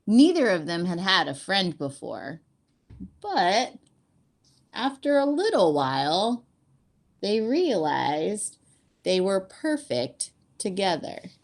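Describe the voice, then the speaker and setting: A woman reading a storybook aloud to children as a teacher, with an expressive, deliberate storytelling delivery. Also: medium pitch.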